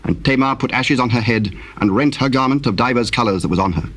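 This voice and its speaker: A man narrating a dramatized Bible, telling the story solemnly in measured, archaic English. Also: medium pitch, a bit bright.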